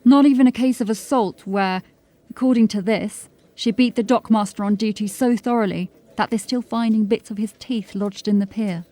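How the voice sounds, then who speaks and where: A diligent constable in a dark fantasy audio drama, acted by a woman, with a middle-pitched voice. She reports in an articulate, measured way with a dry edge of wit. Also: close to an everyday voice. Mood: dry, informative. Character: sharp, diligent, drily witty female police constable.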